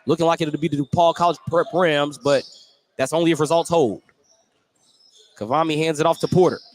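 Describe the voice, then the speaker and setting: Higher-voiced man calling live basketball. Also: brisk. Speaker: play-by-play sports commentator. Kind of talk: live radio basketball play-by-play commentary. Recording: live gym broadcast with background noise. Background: gym ambience, bouncing basketball.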